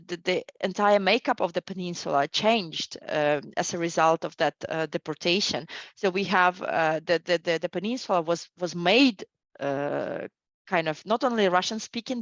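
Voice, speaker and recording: A woman's voice: lowish voice, dry recording, highs cut off. A middle-aged woman, a guest historian, answering interview questions with many fillers and restarts.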